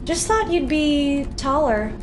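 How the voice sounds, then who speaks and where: An actress playing a sassy, teasing ranger soldier in an audio drama. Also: female voice, sits high, expressive, takes it slowly, background noise.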